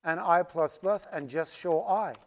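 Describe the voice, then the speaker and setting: A man's voice: high voice, unhurried, gravelly. A man whose first language is Farsi, teaching a programming class while typing code on screen and checking in with "right?" as he explains. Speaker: programming instructor. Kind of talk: live coding lecture. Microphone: screen recording with voice-over mic.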